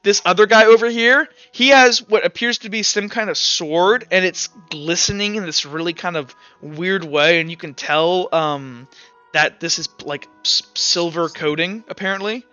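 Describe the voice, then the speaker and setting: Male game master, high bright voice, animated improvised narration, slightly muffled audio. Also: moderate pace, clear, resonant tone, soft background music.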